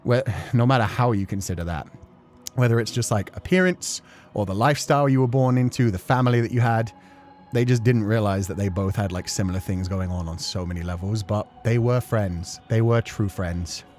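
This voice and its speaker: A man's voice: fairly low pitch, fairly quick, a little mellow, slight background noise. A man giving casual, reflective commentary as a streamer, talking in an earnest, emotional, rambling way. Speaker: streamer hosting a game playthrough. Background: music.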